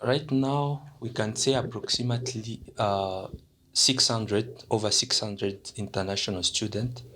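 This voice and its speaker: A man's voice: on the low side, steady tone, a little slow, fairly bright tone, a little hiss or hum. A young man from DR Congo, an international student representative, answering interview questions hesitantly with many fillers and restarts.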